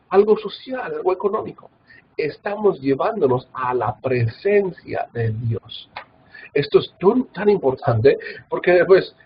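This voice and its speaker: A man's voice: fairly high pitch, highs cut off, a little hiss or hum. Earnest Mexican man lecturing on scripture. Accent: Mexican Spanish, Guadalajara. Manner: earnest, many filler "ah" and tag questions. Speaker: Bible institute professor. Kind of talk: recorded classroom lecture.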